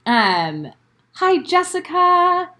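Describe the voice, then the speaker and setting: A woman's voice: very high voice, very animated, drawn-out words, bright, forceful tone. A young woman co-hosting a casual recap podcast from home, upbeat, laughing often and greeting viewers by name. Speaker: podcast co-host. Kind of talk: casual recap chat, live stream. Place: home bedroom.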